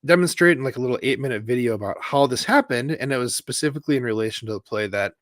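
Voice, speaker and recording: A man's voice: mid-range voice, racing, a bit bright, no echo, clean studio sound. A man co-hosting a casual football chat, rambling with lots of fillers but enthusiastic. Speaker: podcast co-host.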